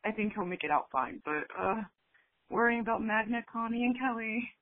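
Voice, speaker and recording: A woman's voice: a little high, expressive, moderate pace, no echo. A woman from New Jersey leaving a chatty voicemail as a caller. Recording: tinny phone voicemail line.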